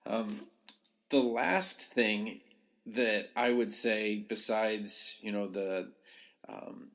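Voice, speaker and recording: A man's voice: on the low side, very slow, a bit bright. A man talking informally as a podcast host and coach, giving advice in a conversational, emphatic way. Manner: conversational, emphatic, acts out short quotes. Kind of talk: informal advice talk to listeners.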